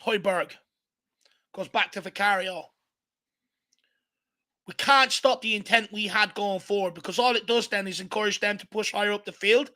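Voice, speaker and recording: A hoarse Irish man hosting a fan watchalong, giving excited live commentary and urging the players on. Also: high-pitched, moderate pace, long pauses. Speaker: fan channel host. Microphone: home desk mic.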